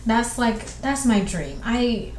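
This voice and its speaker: A woman's voice: on the high side, animated, a little mellow. A young woman from southwestern Georgia with a Southern accent, hosting a casual solo podcast and rambling through listener questions with lots of fillers. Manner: rambling, chatty, filler-heavy, quick bursts. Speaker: podcast host. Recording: echoey room with faint background noise.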